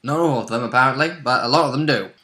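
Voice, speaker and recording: A man's voice: medium pitch, fast talker, pushed and bright. A man chatting casually as a player in a tabletop roleplaying game, adding wry, dry remarks. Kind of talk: casual chat about the game. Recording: roomy, echoing indoor sound.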